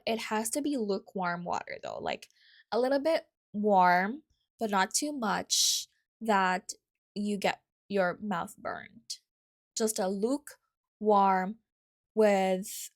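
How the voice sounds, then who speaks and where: A young woman from the Philippines with a Filipino accent, hosting a casual solo podcast in a chatty way, often correcting herself and adding asides. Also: mid-range voice. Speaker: podcast host.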